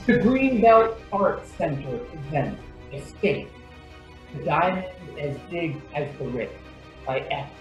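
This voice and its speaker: Female radio-drama announcer: theatrical, unhurried, big swoops in pitch, very echoey room. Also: expressive, lo-fi sound. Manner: theatrical, deliberate. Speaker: announcer and narrator. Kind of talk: dramatic scripted radio introduction. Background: music.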